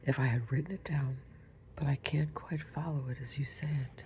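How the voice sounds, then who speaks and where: A woman's voice: extremely low pitch, fairly even intonation, moderate pace, dark tone, a little hiss or hum. Woman whispering as a polite young girl.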